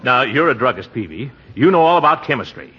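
An actor playing a pompous, flustered small-town uncle and official in a 1940s radio comedy, blustering and exclaiming with theatrical hems. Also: male voice, mid-range voice, very animated, fairly quick, natural voice. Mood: worried, sheepish. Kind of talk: 1940s radio sitcom acting. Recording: muffled, noisy 1940s radio recording.

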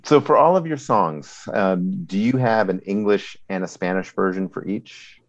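A man's voice: sits low, normal speed. A man asking questions as the host of a casual interview, on slightly muffled audio.

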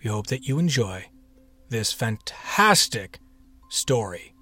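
A man's voice: mid-range voice, big pitch swings, takes it slowly, bright, forceful tone, breathy edge. A man hosting a podcast with a playful, theatrical manner, chatting his way through a scripted introduction. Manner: playful, theatrical, with whispered echo-like repeats and asides. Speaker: podcast host.

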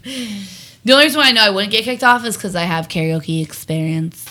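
A young woman co-hosting a casual podcast over wine, giggly and animated, acting out little quotes. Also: medium pitch, fairly quick. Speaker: podcast co-host.

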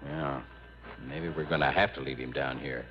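A man's voice: rumbling low, steady tone, normal speed. An actor playing an earnest, hesitant small-town lawman in an old radio drama, stammering and repeating his words. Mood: puzzled, investigating. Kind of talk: 1950s-60s radio drama acting. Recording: muffled vintage radio recording.

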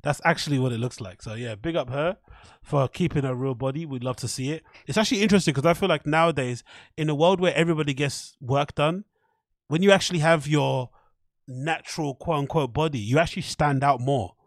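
A British man with a fairly high-pitched voice talks casually into a podcast mic in a very dry-sounding space. He rambles, reads bits out and reacts in an irreverent, slang-heavy, sweary way. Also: fairly quick, no echo. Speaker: podcast host. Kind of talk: casual rambling commentary, reading out an interview and reacting.

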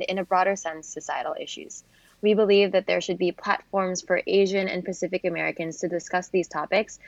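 A woman in her twenties from the Philippines, with a slightly low voice, hosts with a dry, sarcastic edge. Her audio sounds muffled and dry, with no room around it. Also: vocal fry, dry recording. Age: 20s. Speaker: podcast co-host. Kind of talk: podcast intro, partly read.

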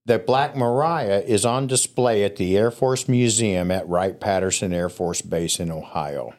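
Podcast guest: man, lowish voice, relaxed rambling storyteller, a bit coughy. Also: a little slow. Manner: relaxed, rambling with fillers and restarts. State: coughing, throat scratchy.

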